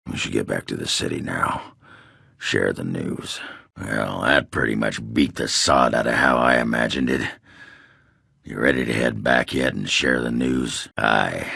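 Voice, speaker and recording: An actor playing a crude, hard-drinking dwarf warrior in a video game, rough and raspy, with a blunt, coarse way of talking. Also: male voice, rumbling low, sharp tone, no room sound, clean studio sound, full character voice. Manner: blunt, coarse, folksy swearing. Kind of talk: video game character dialogue.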